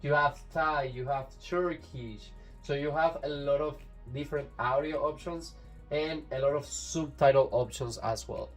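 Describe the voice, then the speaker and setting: A man's voice: fairly high pitch, unhurried, soft background music. A man whose first language is Spanish, with a Latin American Spanish accent, chatting casually and enthusiastically as he reviews a disc for his channel, rambling with fillers and restarts. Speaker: YouTube reviewer.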